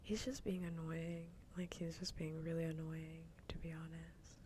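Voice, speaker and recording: A woman livestream host chatting casually and ramblingly with viewers in a soft, breathy, half-whispered ASMR voice, close to the microphone. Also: low voice, level tone, moderate pace, a little hiss or hum. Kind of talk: soft-spoken ASMR chat stream.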